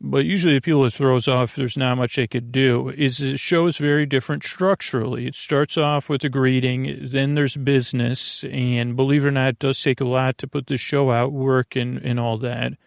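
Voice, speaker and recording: A man hosting a podcast with a meandering, halting, digressive bedtime monologue. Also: medium pitch, steady tone, creaky, no room sound, studio quality. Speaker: podcast host.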